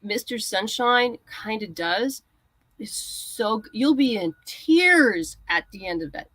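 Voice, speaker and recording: A woman's voice: on the high side, animated, slow pace, a bit bright, dry recording. Woman guest explaining in casual chat. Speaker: guest writer and comics creator.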